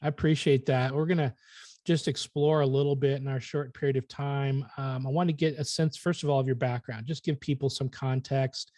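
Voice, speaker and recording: A man hosts a podcast interview in a casual, chatty style. His voice is soft-edged and mellow at a medium pitch, captured close and dry without room sound. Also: fairly even intonation, a little fast, no echo. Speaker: podcast host.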